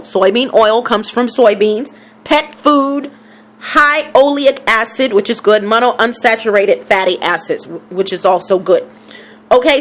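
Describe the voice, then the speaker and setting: A woman's voice: fairly high pitch, a bit bright. A woman vlogger talking casually to camera, emphatic and repeating figures for stress, with a scratchy throat.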